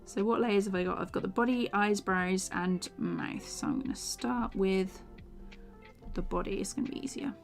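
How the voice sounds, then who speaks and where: A young woman chatting casually while she animates on a live stream, her voice tired from a day of talking, often laughing and drifting into soft mumbles as she works. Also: medium pitch, sing-song, moderate pace, soft background music. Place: small home room, indoors. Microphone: desk microphone with foam cover.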